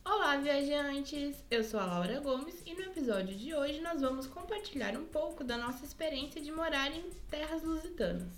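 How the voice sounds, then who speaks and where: A young woman, co-hosting a podcast and telling stories in an animated way. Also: high-pitched, normal speed, few pauses, faint music behind. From Brazil. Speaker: podcast co-host. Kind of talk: casual chat.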